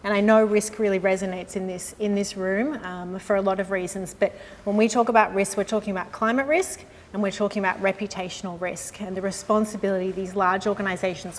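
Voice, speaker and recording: A woman, a sustainability consultant giving a live industry presentation in a room with an audience, in a friendly, measured way with frequent "um" and "uh". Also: medium pitch. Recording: long hall reverb with faint noise.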